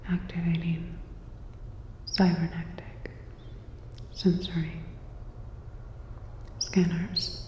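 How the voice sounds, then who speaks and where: A woman acts out a calm sci-fi repair technician in a whispered ASMR roleplay. The delivery is very even and unhurried, with soft clinical reassurance. It sits in a long, roomy reverb. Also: fairly low pitch. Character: calm, attentive female cyberpunk robot-repair technician. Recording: roomy reverberant sound, dull, faint noise.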